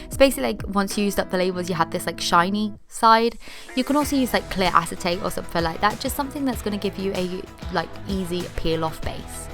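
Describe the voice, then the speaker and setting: Chatty young woman, slightly hoarse. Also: medium pitch, animated, fast talker, music in the background. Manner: chatty, upbeat, lots of "like" fillers. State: losing her voice. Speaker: DIY vlogger. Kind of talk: casual DIY tutorial voice-over.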